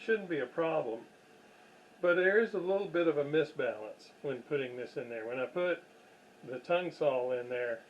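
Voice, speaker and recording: A man giving casual, unscripted workbench commentary in a halting way, with pauses and self-deprecating asides. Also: fairly high pitch, animated, dull audio. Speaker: host of radio repair videos. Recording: clear background noise.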